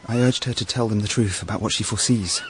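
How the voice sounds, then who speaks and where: An actor playing an earnest, soft-hearted young Roman tribune, polite in manner, in a radio drama. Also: male voice, lowish voice, fast talker, slight background noise, close to an everyday voice. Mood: urgent, troubled.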